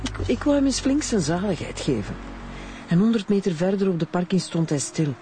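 A shaken Flemish woman witness in a radio drama. She falters in a voice that swings up and down, with music clearly behind her. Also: lowish voice, big pitch swings, dark tone. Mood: dazed, distressed. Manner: halting, faltering. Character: dazed, distressed woman witness after a road-rage incident. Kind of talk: emotional radio drama acting.

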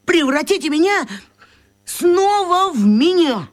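A bewildered young fellow in a radio play. The voice is high and very expressive, with slow, hesitant phrasing and an earnest, pleading manner. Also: female voice, full character voice. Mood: hopeful, pleading. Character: bewildered, pleading young man transformed by magic.

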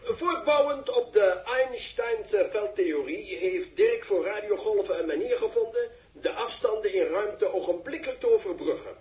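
An actor playing a pompous, easily flustered live reporter in a 1960s radio drama, speaking in formal, florid phrases. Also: male voice, high-pitched, animated, moderate pace, darkish tone. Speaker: television reporter. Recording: echoey, distant 1960s radio recording.

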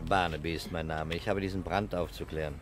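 An actor in a 1960s German radio play playing a calm, courteous male fire investigator who asks shrewd, measured questions. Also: deep voice, lightly acted. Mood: courteous, probing. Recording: vintage radio recording with background noise.

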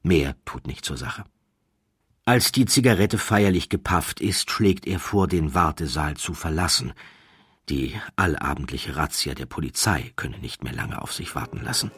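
A man reading a scripted literary story as an audiobook narrator, in a measured, deliberate storytelling manner. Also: extremely low pitch, expressive, fairly bright tone, breathy edge, dry recording.

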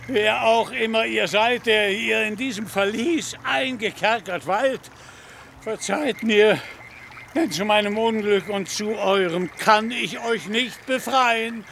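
A man's voice: sits high, slow, creaky, a bit bright, slight background noise, heavily acted. A man playing a proud, deluded knight-errant in a radio drama, declaiming pompously in archaic, grandiloquent phrases and easily enraged. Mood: lamenting. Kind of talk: theatrical radio drama acting.

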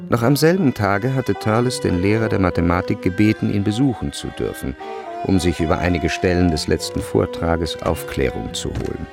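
A man reading scripted literary narration for a radio play in a measured, precise way. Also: low-pitched, lively intonation, vocal fry, music in the background.

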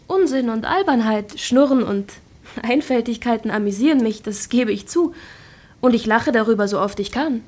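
High-voiced, witty, spirited young gentlewoman. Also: expressive, normal speed. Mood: ironic, challenging. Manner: playful, ironic, articulate. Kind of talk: naturalistic German radio drama acting.

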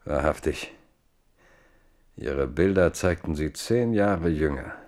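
A narrator with a very low voice tells a historical tale for radio. His delivery is measured and literary, with dry irony. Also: expressive, darkish tone, exaggerated voice. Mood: measured, ironic. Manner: measured, dryly ironic. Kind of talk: scripted radio play narration.

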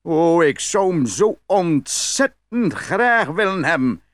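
An actor playing a gullible, eager, tipsy farmer in a fairy tale, stammering and blustering. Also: male voice, on the high side, big pitch swings, slow, no room sound. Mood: pleading. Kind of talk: dramatised fairy tale acting.

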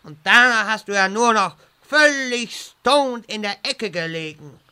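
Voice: medium pitch, lively intonation, a little slow, sharp tone, clear, resonant tone. An actor playing a snide, bullying master wizard who rants with theatrical sarcasm in a fantasy parody audio drama. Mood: scornful. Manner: sarcastic, scornful, theatrical ranting.

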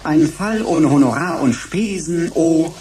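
An actor playing a pedantic, mocking computer sidekick in a science-fiction radio play, with flowery turns of speech. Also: male voice, on the high side, animated, unhurried, mellow, a little hiss or hum. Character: snide, pedantic talking computer assistant, male.

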